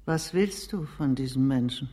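A woman's voice: very deep voice, normal speed, warm tone. A woman acting in a German radio drama as a very old, stern nursemaid and confidante, plain-spoken and unflinching. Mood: grave, reproachful. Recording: long reverberant hall, music behind.

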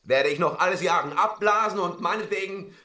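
An actor playing a dapper, excitable British gentleman monster hunter in a radio play, pompous and mannered. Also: high-pitched, a little fast. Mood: exasperated.

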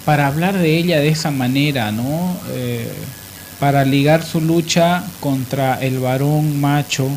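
A man's voice: fairly high pitch, a little mellow. Emphatic male radio host, noisy recording. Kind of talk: opinionated radio discussion.

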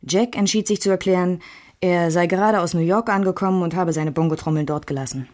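A woman's voice: lowish voice, a little fast, a little mellow, lightly acted. An actress playing a composed woman narrator, reading a literary bohemian memoir for a radio play.

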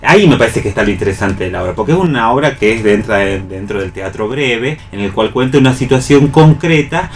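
A man with an Argentine Spanish accent in a casual round-table discussion of theatre, explaining his thoughts in a reflective, halting way. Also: on the low side, lively intonation, a little hiss or hum. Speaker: radio show panelist.

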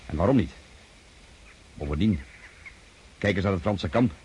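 Radio drama role: wary Cossack commander, very deep, curt authority, noisy outdoor scene. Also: male voice, expressive, normal speed, a little mellow. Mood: suspicious, brooding. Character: wary, commanding male Cossack cavalry general. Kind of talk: radio play acting.

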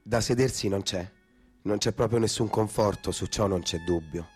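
A man's voice: fairly low pitch, moderate pace, darkish tone, husky. A man reciting poetic, scripted narration for a radio play in a theatrical style, with music behind him.

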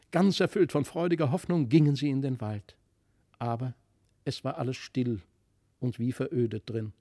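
A man narrating a literary fairy tale in a dramatic, expressive manner. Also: medium pitch. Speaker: storyteller.

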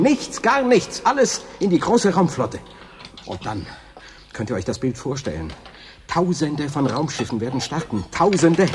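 A man's voice: a little high, in a large hall, slight background noise. An actor playing a fanatical male visionary in a German radio drama, declaiming like a preacher. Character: fanatical male visionary dreaming of a space fleet.

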